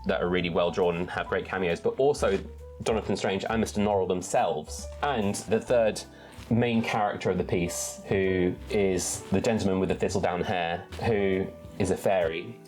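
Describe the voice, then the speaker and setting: A man's voice: deep voice, steady tone, normal speed, darkish tone, soft background music. A man hosting an enthusiastic book talk, articulate and wordy, with emphatic phrasing. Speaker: book reviewer and readalong host.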